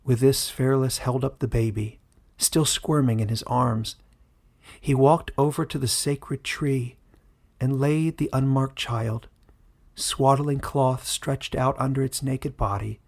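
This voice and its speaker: A man narrating a fantasy story in a dramatic, scripted style with measured, suspenseful delivery. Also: medium pitch, steady tone, a little mellow, slightly rough. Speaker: author and narrator.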